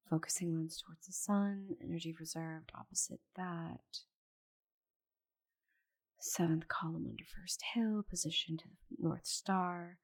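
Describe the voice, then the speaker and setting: Casting: proud giant she-dragon voiced by a woman for ASMR roleplay. Breathy, slow-paced, condescending, flustered and muttering to herself. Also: on the low side, exaggerated voice. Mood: concentrating, muttering. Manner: haughty, exasperated, condescending endearments, muttering to herself. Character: proud stubborn giant female dragon, flustered while shrinking.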